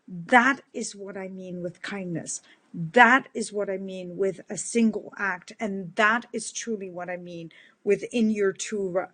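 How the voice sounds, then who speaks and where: A woman in her fifties from the Netherlands, speaking with a big booming voice in an earnest, heartfelt live-stream talk to her followers as a kindness speaker. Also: fairly bright tone.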